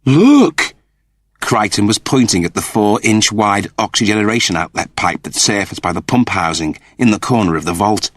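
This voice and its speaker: A man's voice: lowish voice, moderate pace, hardly any pauses, fairly bright tone, no room sound. A man narrating a story as an audiobook, in a dramatic scripted style.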